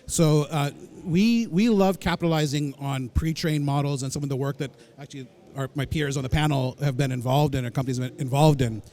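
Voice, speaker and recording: A man's voice: fairly high pitch, a little fast, darkish tone. A man answering a moderator's question on a conference panel, fluent and technical with a few uhs.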